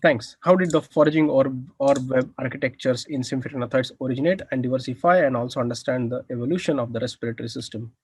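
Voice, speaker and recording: A man's voice: medium pitch, fast, few pauses, a little mellow, husky, no room sound. A young man from India with an Indian accent, giving a research presentation with many "uh" fillers and open enthusiasm for his findings. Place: indoors, air-conditioned room.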